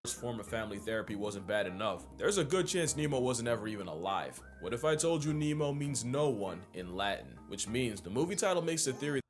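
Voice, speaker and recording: A man's voice: mid-range voice, big pitch swings, fairly quick, hardly any pauses, soft background music. A man delivering scripted comedic narration, wry, irreverent and full of slang. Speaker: video essay narrator.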